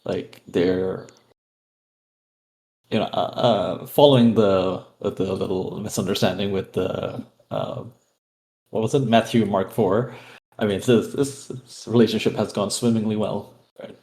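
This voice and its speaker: A man with a lowish voice and a clear gravelly fry plays a tabletop roleplaying game. He talks casually and haltingly, thinking aloud as he describes the scene. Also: steady tone, dark tone, poor audio. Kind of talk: casual improvised game talk.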